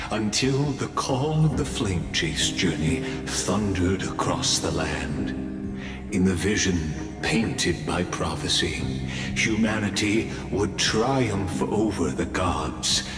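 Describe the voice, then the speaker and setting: Game narrator, solemn epic bard: deep, measured, echoing over music. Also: male voice. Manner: grave, measured, theatrical. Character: solemn male storyteller of an epic legend. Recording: long hall reverb, music behind.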